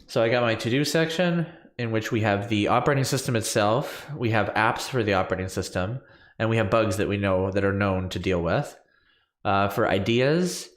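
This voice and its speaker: A man's voice: on the low side, roomy sound. A man in his thirties, a bit stuffy from allergies, casually walking through his coding project on a livestream with lots of ums and self-corrections. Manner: rambling, filler-heavy, self-correcting. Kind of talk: casual screen-share coding walkthrough. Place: small home room with purple lights. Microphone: large studio condenser mic on boom arm.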